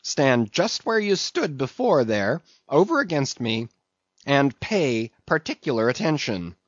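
A man voicing a brash, bossy whaling ship's mate in a storybook, teasing and interrogating loudly. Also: mid-range voice, sing-song, a little slow, dry recording, muffled recording, pitched above the performer's own voice. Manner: loud, teasing, interrogating, swooping exclamations.

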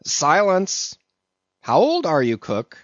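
A man voicing a brash, bossy whaling ship's mate in a storybook, teasing and interrogating loudly. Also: on the high side, huge pitch swings, measured pace, no echo, muffled recording, voice pushed up, heavily acted. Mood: stern teasing. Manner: loud, teasing, interrogating, swooping exclamations.

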